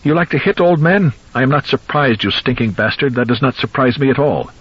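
A man voicing an enraged, grieving old man who hectors and screams, with a husky voice on a dull recording. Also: medium pitch, expressive, brisk, fairly bright tone, pitched above the performer's own voice. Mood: shrill taunting. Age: older. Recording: dull lo-fi audio.

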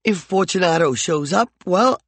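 A jealous, volatile man who mocks, rages and then pleads with heat, as a male narrator plays him. The voice is lively and gravelly, pitched a little high, and recorded dry and close. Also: moderate pace, dry recording, heavily acted. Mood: pleading. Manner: heated, mocking and intense.

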